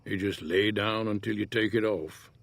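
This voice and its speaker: An actor playing an easygoing older American prospector in a Western-style video game, folksy and laconic in manner. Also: male voice, on the low side, steady tone, normal speed, creaky, fairly bright tone, slightly rough, no echo, exaggerated voice. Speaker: game character, townsfolk prospector. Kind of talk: video game character dialogue.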